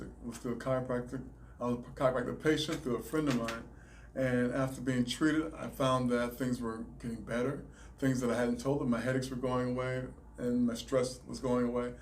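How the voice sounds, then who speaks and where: A man with a Southern US accent explains his work in a calm, measured way, pausing and restarting now and then. His voice has a mid-range pitch, and a faint hum of room noise runs under it. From Alabama, raised in South Carolina. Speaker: chiropractor, guest. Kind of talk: interview answers, explaining.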